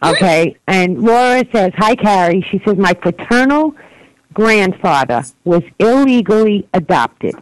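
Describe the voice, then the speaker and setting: A woman co-hosts a live call-in show, reading listeners' chat questions aloud. She speaks in a casual, hesitant way, pausing and restarting often with plenty of ums. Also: mid-range voice, lively intonation, low-quality recording. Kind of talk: casual live online radio talk.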